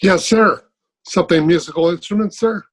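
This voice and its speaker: A man acts out a glib shop salesman in a vintage radio comedy. His voice sits a little high, and he delivers courteous patter with deadpan jokes. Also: moderate pace. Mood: brisk, salesy. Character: polite, glib music store salesman. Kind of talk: old-time radio comedy acting.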